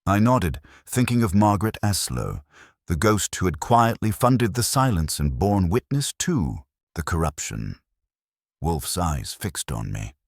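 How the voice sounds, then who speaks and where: A man narrating a detective story in a measured, dramatic literary style, as for an audiobook. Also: deep voice, very animated, no echo, studio quality. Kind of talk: scripted first-person detective story narration.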